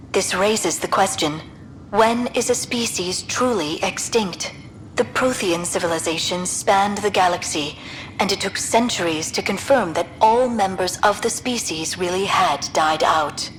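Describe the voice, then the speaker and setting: An actress playing a composed alien archaeologist narrating an academic paper in a video game, with precise, scholarly delivery. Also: female voice, medium pitch, lightly acted, rough recording.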